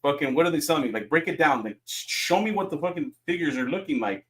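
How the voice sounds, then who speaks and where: A man's voice: on the high side, expressive, quick pace, fairly bright tone. A man talking bluntly and profanely in a casual podcast chat. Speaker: podcast co-host.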